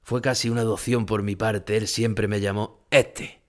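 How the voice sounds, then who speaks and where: An actor playing an aging, haunted Spanish bullfighter who recalls his past gravely in a dramatic audio monologue. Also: fairly low pitch, flat delivery, moderate pace, lightly acted. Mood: wistful.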